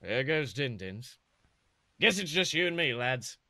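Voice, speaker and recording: A man's voice: medium pitch, sing-song, unhurried, sharp tone, dry recording. A man voicing a roguish pirate captain from a story, flippant and wary. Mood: resigned, wry. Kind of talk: storybook character voice.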